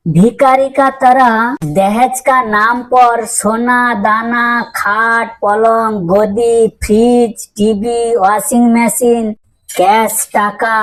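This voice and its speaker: A woman voicing a dim but earnest schoolboy in a comedy cartoon, answering in a deliberate, reciting manner. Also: fairly high pitch, poor audio.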